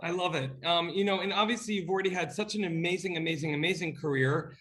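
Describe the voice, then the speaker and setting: A man's voice: high-pitched, quick pace, fairly bright tone, poor audio. A man hosting an interview, effusive and upbeat in his questions. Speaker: interview host. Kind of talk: enthusiastic interview host.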